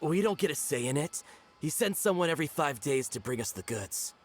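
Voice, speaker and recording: Scared street dealer, stammers, pitch jumping, soft music under. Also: male voice, animated, a little fast. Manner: hesitant, stammering. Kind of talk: game voice acting.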